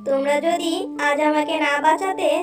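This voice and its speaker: A woman's voice: high-pitched, moderate pace, music in the background, lightly acted. A woman voicing an earnest young fairy in a children's cartoon, in a roomy, distant recording. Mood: grateful, kind. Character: kind, grateful, defiant young female fairy.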